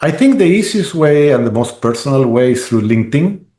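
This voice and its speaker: A man's voice: mid-range voice, animated, a little fast, dark tone, roomy sound. A man, a guest on a podcast interview who rambles with restarts and keeps asking "right?". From Chile.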